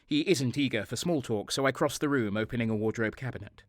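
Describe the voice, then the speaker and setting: A man's voice: medium pitch, quick pace, no echo, lightly acted. An actor playing a cynical, cold and sadistic soldier who narrates his crimes in a brooding, sardonic way in an audio drama. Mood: brooding, bitter.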